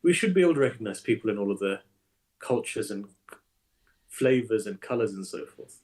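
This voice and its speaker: Emphatic, animated male imam guest. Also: on the low side, brisk. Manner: animated, emphatic, repeats phrases. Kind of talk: conversational interview.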